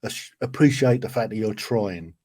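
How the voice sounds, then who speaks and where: A man hosts the conversation in a low, mellow voice. He tells chatty anecdotes and fills his pauses with hesitant sounds. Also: animated. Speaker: podcast host. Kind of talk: casual interview chat.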